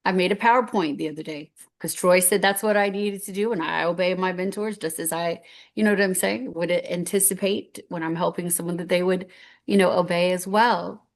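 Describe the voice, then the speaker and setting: Woman, 50s, lowish voice, earnest storyteller who rambles and restarts; guest sharing her journey. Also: steady tone, brisk. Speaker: guest speaker. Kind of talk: heartfelt personal story on stage.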